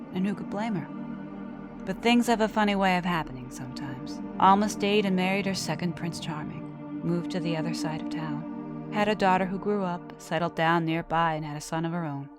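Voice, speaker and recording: A woman reading a scripted horror story as narrator, with dramatic, measured delivery. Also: fairly low pitch. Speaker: storyteller and show host.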